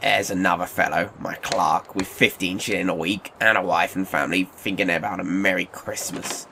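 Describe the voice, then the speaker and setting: A man's voice: low-pitched, steady tone, normal speed, vocal fry, bright, forceful tone, slight background noise, full character voice. An actor playing a miserly, grumpy old businessman in an audio play, curt and sarcastic. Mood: cold sarcasm.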